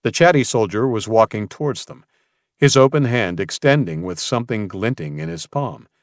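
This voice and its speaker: A man's voice: on the low side, expressive, moderate pace, no echo, clean studio sound. A man reading a scripted story as a dramatic audiobook narrator.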